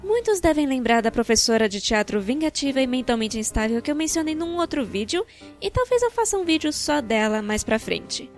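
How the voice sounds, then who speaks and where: A Brazilian woman with a fairly high voice narrates a personal story from a script. Her delivery is expressive and wry, with colloquial phrasing. Music plays behind her voice. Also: brisk. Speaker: narrator of a fan-dubbed animated storytime video. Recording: background music.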